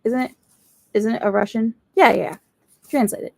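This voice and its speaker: A woman's voice: fairly high pitch, moderate pace, gravelly, darkish tone, dry recording. A woman who is not a native English speaker, chatting casually and thinking aloud during a live reading session at home. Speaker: book streamer. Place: at home, seated in a chair.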